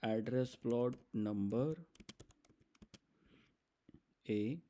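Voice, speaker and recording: An Indian man presenting a step-by-step software demo in an explanatory, instructional manner, mixing in English terms. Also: mid-range voice, very slow.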